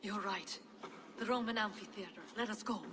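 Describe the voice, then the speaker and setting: A woman's voice: medium pitch, moderate pace, noisy background, close to an everyday voice. An actress playing an earnest, loyal noblewoman of Viking-age England in a video game, solemn and clipped. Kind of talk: cinematic video game acting.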